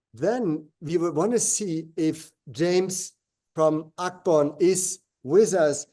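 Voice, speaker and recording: A man's voice: on the high side, dark tone. Earnest man hosting a video call. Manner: earnest, halting with fillers and restarts. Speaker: host. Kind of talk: moderating a live video call.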